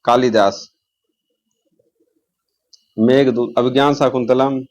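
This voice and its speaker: A man speaks as an exam coach at a medium pitch. He asks short questions and answers them himself. He repeats key terms in a didactic way so they can be memorised. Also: fairly even intonation, slow pace, a little mellow.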